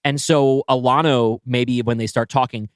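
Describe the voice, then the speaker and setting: A man's voice: mid-range voice, fairly even intonation, normal speed, clear, resonant tone, no room sound. Man talking through ideas analytically, dry close sound. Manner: analytical, thinking aloud. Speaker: podcast co-host and writer. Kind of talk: casual story brainstorming.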